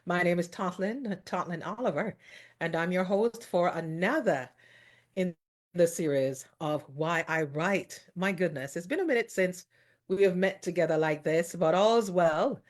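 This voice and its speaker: A woman's voice: fairly low pitch, unhurried. A middle-aged woman from Jamaica, hosting a talk show in an effusive, exclamatory way. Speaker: host.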